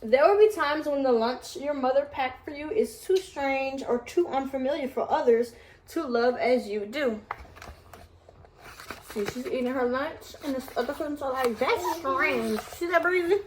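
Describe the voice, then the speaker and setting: A woman's voice: sits high, a little slow, slight background noise. A woman reading a picture book aloud to her toddler, with casual asides to the child as she goes.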